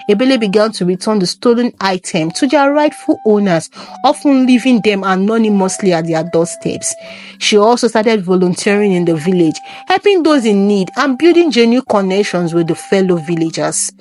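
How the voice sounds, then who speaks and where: Woman narrating a folktale, animated pitch. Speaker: storyteller, narrator.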